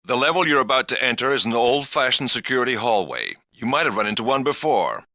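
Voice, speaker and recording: A man's voice: mid-range voice, expressive, fast, bright, forceful tone, no echo, studio quality. A man playing an authoritative spy mission controller who gives urgent, instructive briefings in a video game.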